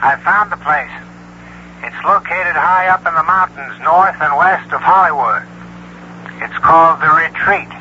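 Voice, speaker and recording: A man's voice: a little high, measured pace, long pauses, sharp tone. A slightly muffled radio recording with faint hiss carries a male investigator. He is businesslike and terse. His delivery is factual, in the manner of broadcast drama. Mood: reporting. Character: businesslike, matter-of-fact male private investigator. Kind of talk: 1980 radio drama acting.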